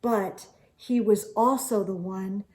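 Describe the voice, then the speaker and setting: A woman storyteller reading a children's book aloud expressively, with deliberate phrasing and dramatic emphasis. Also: medium pitch, a little mellow.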